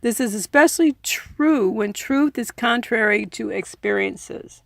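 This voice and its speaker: A woman's voice: a little high, lively intonation, measured pace, vocal fry, fairly bright tone, dry recording, clean studio sound. A woman reading a book aloud earnestly, in an informal audiobook style with personal asides. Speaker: reader and commentator.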